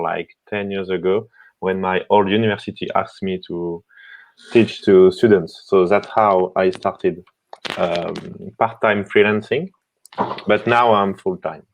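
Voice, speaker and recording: Male panelist, French accent, low-ish and slow, informal halting delivery. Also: low-quality recording. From France. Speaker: panelist, freelancer. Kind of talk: casual chat on a panel. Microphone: video call.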